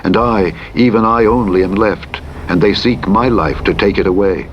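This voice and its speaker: A man reading scripture as a scripted narration, in a measured, solemn and declamatory manner. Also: lowish voice, muffled recording. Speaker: audiobook narrator. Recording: clear vehicle noise over a radio playback. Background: truck engine and driving noise from a simulator game.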